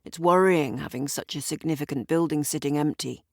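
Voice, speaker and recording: A woman voicing an earnest, courteous man grieving a friend, measured, in an audio drama. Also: low-pitched, gravelly, a little mellow, no room sound, clean studio sound. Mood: probing, friendly. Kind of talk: audio drama character dialogue.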